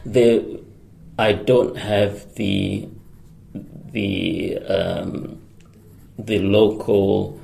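Guy, super deep gravelly voice, slow, lots of ums and restarts. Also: little pitch movement, mellow. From Singapore. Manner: hesitant, many fillers and restarts; laughs often. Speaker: guest, electronics engineer. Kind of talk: conversational radio interview.

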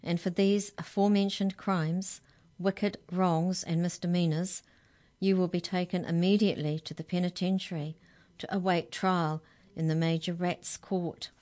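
A woman's voice: fairly low pitch, fairly even intonation, moderate pace, warm tone, close to an everyday voice. A woman voicing a stern, officious female law officer in a storybook, speaking formally and emphatically. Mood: grim, commanding. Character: stern, officious female lawwoman making arrests.

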